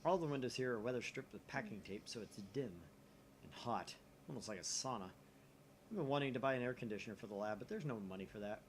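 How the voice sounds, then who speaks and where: Animated man reading aloud, background noise. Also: mid-range voice, fast. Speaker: let's play host reading a visual novel aloud. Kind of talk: animated script reading with casual asides. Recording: noisy room mic, slightly off to the side.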